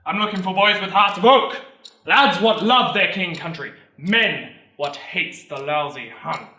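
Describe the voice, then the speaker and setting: Man acting a booming, rousing recruiting sergeant major. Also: unhurried, heavily acted. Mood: rallying. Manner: loud, declamatory oratory. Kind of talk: theatrical monologue acting. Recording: roomy, distant microphone.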